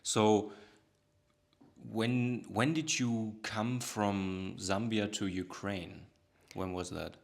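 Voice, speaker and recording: A man's voice: fairly low pitch, fairly even intonation, extremely slow, vocal fry. A German man with a German accent, hosting and interviewing on a podcast.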